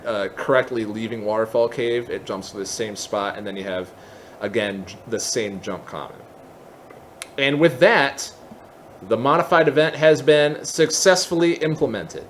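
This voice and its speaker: Man, mid-pitched voice, semi-casual software walkthrough, step-by-step explaining, light ambient noise. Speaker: tutorial presenter.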